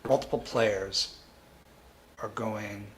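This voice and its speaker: A man hosting a live coding tutorial, thinking aloud in a halting way and repeating phrases as he reasons. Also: fairly low pitch, fairly even intonation, fairly bright tone, slight background noise. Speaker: programming tutor and livestream host.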